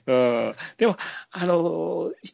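A man with a Kansai accent talks films casually as a podcast co-host. His pitch moves in a lively way and his voice often drops into gravelly fry. The sound is close and dry, and he feels his way through each thought. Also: very slow, no room sound. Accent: Kansai Japanese. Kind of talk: casual film discussion.

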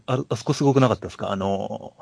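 A man's voice: fairly low pitch, rapid-fire, vocal fry, a little mellow, slightly rough, no room sound. A man hosting a podcast, chatting about films in a polite, conversational way. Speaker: podcast host. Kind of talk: casual film chat.

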